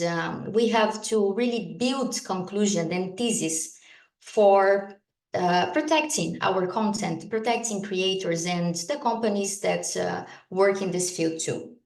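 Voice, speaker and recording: Brazilian-accented woman lecturing in an echoey room. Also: mid-range voice. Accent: Brazilian Portuguese. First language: Portuguese. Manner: measured, explanatory, frequent hesitations. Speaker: guest speaker, lawyer. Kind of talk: conference presentation.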